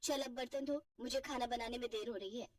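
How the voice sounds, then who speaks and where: Woman playing a high-voiced bossy young housewife. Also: steady tone, brisk, far from the mic, crowd noise, lightly acted. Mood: scolding. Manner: scolding, huffy. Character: bossy, nagging elder sister-in-law, young housewife. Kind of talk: Hindi family cartoon voice acting.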